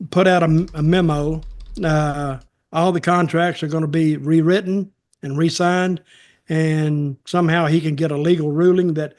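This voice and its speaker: A man co-hosting a podcast, giving casual opinions in a blunt, sarcastic, folksy way. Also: a little high. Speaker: podcast co-host, wrestling commentator.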